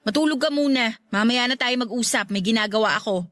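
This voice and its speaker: A woman acts a young wife in a radio drama, worn down and exasperated. Her high voice scolds and grumbles, yet stays protective underneath. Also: fast talker, fairly bright tone, no room sound, clean studio sound, natural voice. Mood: annoyed, curt. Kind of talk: Tagalog radio drama acting.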